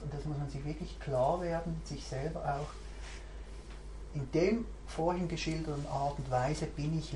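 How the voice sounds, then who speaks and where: A woman's voice: deep voice, warm tone. A woman, a mindfulness teacher giving a reflective, searching talk to a group and thinking aloud as she goes. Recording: roomy recording, faint background hiss.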